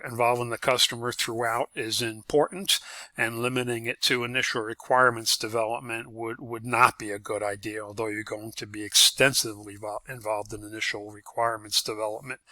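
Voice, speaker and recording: A man teaches in an informal lecture style, working through his points with a halting delivery. His voice is mid-pitched, with a strikingly bright, forceful edge. The sound is dry, with hardly any room around it. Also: dry recording. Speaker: instructor.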